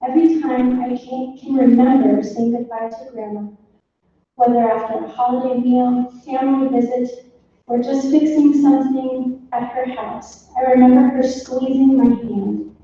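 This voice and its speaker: A middle-aged woman reading family tributes at a funeral in a halting, informal way. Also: high voice, steady tone. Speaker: granddaughter speaking for her family. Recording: echoey room, distant muffled mic, faint noise.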